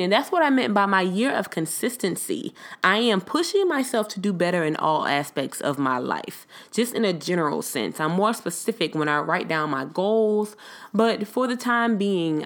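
Nasal, congested woman podcaster, blunt and casual. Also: fairly low pitch. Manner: candid, blunt, swears freely, addresses listeners as y'all. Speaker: podcast host. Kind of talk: casual solo podcast monologue.

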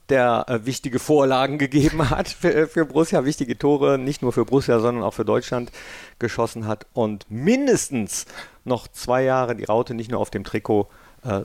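Gravelly-voiced male podcast host. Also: medium pitch, a little mellow, no room sound. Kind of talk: casual interview hosting.

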